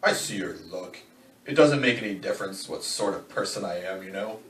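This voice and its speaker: A man playing a calm, menacing killer in a dramatic novel reading, speaking in measured, formal phrases with cold fatalism. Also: deep voice. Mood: calm, philosophical. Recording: roomy, distant, faint background noise.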